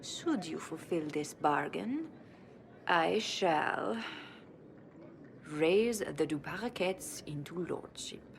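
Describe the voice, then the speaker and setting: A woman's voice: deep voice, lively intonation, slow pace, noisy background, exaggerated voice. An actress playing a snobbish, shrewd older noblewoman in a video game, speaking in a pompous, disdainful, formal manner. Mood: haughty. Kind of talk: video game cutscene acting.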